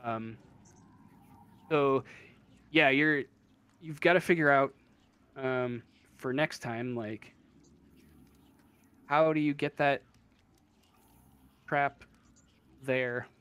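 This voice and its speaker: A man's voice: mid-range voice, very slow, pauses often, fairly bright tone, clean tone. A man acting as game master, casually explaining a tabletop roleplaying game in a hesitant way, as if thinking aloud.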